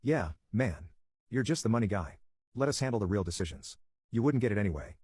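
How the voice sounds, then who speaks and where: A man's voice: fairly low pitch, lively intonation, a little fast, darkish tone, husky, dry recording. A man voicing an arrogant, casual brother who talks down to his sibling in a narrated story. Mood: smug, casual.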